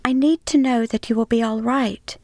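A woman's voice: fairly even intonation, normal speed, darkish tone, dry recording, studio quality, natural voice. A woman voicing an anxious young wife who pleads in a raised voice. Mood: pleading. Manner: pleading, higher than her narration.

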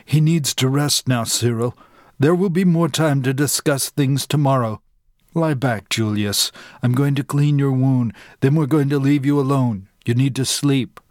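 A man's voice: mid-range voice, a little slow, no echo, studio quality, lightly acted. A man reading a grave, blunt healer. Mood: gentle. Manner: calm, firm, explanatory. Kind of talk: audiobook character reading.

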